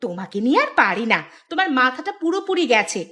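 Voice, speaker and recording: A woman voicing a sharp-tongued, scheming village wife in a cartoon, scolding and exasperated, with an emphatic delivery. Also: sits high, sing-song, fast, exaggerated voice. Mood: scheming, impatient. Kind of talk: Bengali cartoon voice acting.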